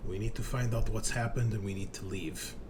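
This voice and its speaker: Man voicing a curt, low-toned female doctor. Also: fairly even intonation, fairly quick, background noise, natural voice. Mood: firm, impatient. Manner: terse, formal, brushing others off.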